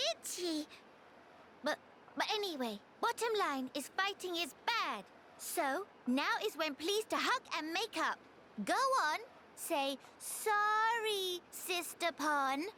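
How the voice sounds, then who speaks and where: A woman voicing a small, excitable, bossy creature girl in a video game, speaking in cute broken third-person grammar with mangled words. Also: extremely high pitch, slow, slight background noise, full character voice. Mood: chirpy, scolding. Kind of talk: video game character acting.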